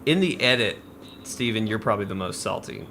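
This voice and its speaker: Low, bright-voiced man chatting casually. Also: lively intonation, brisk, a little hiss or hum. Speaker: podcast host. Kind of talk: casual gaming podcast chat.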